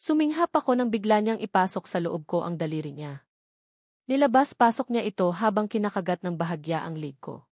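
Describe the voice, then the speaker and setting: A woman's voice: mid-range voice, very animated, a little fast, a little mellow, no room sound. Woman narrating a novel, very dry sound. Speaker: audiobook narrator. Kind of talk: scripted first-person romance novel narration.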